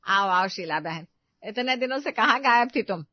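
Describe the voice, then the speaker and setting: A woman acts a bossy middle-aged mother-in-law in a family story. She talks in a fairly high, chiding, emphatic voice, recorded dry. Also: expressive, moderate pace, no echo, lo-fi sound. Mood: hospitable.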